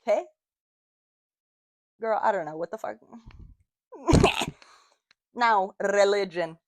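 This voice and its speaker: A woman's voice: medium pitch, animated, takes it slowly, dry recording, studio quality. A woman in her late twenties, hosting a casual solo podcast, talking candidly with lots of slang and swearing. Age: 20s. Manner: candid and profane, slangy, lots of "you know what I mean". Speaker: podcast host.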